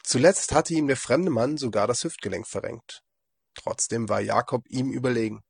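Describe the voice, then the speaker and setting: A man with a husky voice, hoarse from a cold, giving a calm, measured daily devotion as a lay preacher. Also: medium pitch, dry recording, clean studio sound. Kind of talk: devotional reflection.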